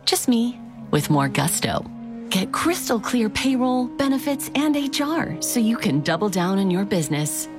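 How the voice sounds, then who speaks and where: An actress playing a confident, upbeat woman pitching software in a scripted advertisement. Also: big pitch swings, moderate pace, over music.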